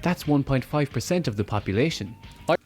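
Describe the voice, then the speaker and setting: A young man with an Irish accent narrating a scripted video with sardonic, deadpan humour. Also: mid-range voice, fairly quick, faint music behind. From Ireland. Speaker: video essayist.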